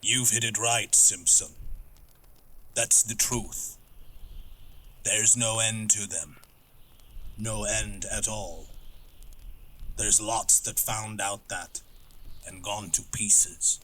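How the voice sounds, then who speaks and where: A man playing a proud, guarded wilderness guide in an audio drama, laconic, stoic and measured. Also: on the low side, fairly even intonation, sharp tone, slight background noise. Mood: brooding.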